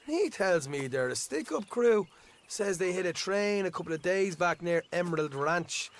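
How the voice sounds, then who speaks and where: A brash young Irishman riding with outlaws in a Western game. His slightly high voice bounces along with a lively lilt, talkative, cheeky and folksy in an Irish accent. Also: moderate pace. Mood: boastful, chatty. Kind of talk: video game cutscene acting.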